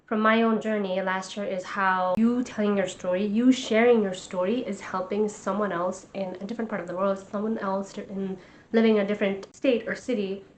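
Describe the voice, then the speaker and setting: An earnest, encouraging young woman speaks straight to the camera at a medium pitch, like a coach cheering on her viewers. Faint background hiss sits under her voice. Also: fairly even intonation, normal speed, poor audio. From Nepal. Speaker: vlogger and life coach. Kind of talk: casual talk to camera.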